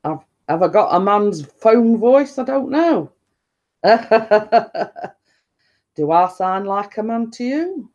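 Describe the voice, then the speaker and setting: A woman with a deep-toned voice talks slowly and unhurriedly to viewers on a live stream. She meanders through her story, leaning on stock phrases and circling back to the same point. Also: expressive, a little mellow, highs cut off. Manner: chatty, meandering, repeats stock phrases and laughs often. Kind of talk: rambling casual chat.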